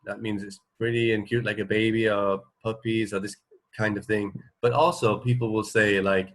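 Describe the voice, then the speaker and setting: A man in his forties chatting casually as a radio co-host, explaining things in a halting, rambling way that often trails off mid-thought. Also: on the low side, level tone. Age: 40s. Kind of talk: casual unscripted chat, explaining.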